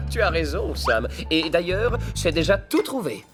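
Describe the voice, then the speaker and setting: A woman's voice: on the low side, very animated, takes it slowly, over loud music. A woman voicing a clever, sly young male fox who leads a gang of animals in a cartoon. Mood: confident. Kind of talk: French cartoon dubbing.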